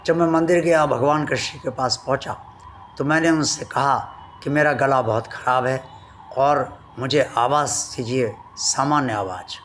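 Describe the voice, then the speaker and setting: Slow, earnest devotional man, slightly hoarse. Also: medium pitch, fairly bright tone, slight background noise. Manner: slow, earnest and devout, addressing viewers formally. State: recovering from a sore throat, voice not at full strength. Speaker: devotional channel host. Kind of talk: devotional talk to viewers.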